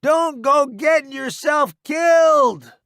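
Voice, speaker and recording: Man playing a brash shouting young soldier. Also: high-pitched, big pitch swings, unhurried, gravelly, no echo, clean studio sound, pitched above the performer's own voice, heavily acted. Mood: pained joking. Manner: loud, shouting over explosions. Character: brash reckless young male soldier, joking under fire.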